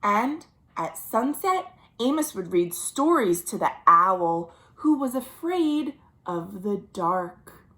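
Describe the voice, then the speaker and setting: An American woman reading a picture book aloud to young children, with chatty asides and gentle questions, drawing out key words. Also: mid-range voice, sing-song, takes it slowly. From United States. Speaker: children's librarian hosting a virtual storytime. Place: at home.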